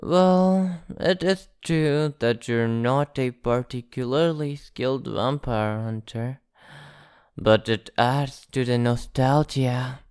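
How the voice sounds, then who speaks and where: A man playing an ancient, possessive vampire in an ASMR roleplay, speaking in a theatrical, condescending, teasing and softly threatening way. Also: medium pitch, slow, clean tone, no echo, clean studio sound, natural voice. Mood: nostalgic, mocking.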